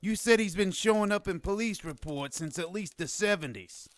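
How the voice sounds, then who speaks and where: An actor playing a snide, condescending non-human informant who scorns humans in a radio drama. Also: male voice, sits high, normal speed, close to an everyday voice. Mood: scornful, mocking. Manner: sarcastic, condescending.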